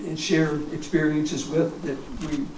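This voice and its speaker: An American man in his seventies, a war veteran reminiscing informally in a halting, rambling way with frequent pauses. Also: on the high side, level tone, darkish tone. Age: 70s. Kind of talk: informal reminiscence at a public talk. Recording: echoey, distant mic, clear background noise.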